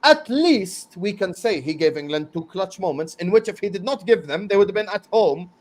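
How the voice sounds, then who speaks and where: A man hosting a football stream, arguing his points emphatically and repeating words as he gets excited. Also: sits high, moderate pace. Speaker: host of a football transfer stream. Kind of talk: casual football debate on a livestream.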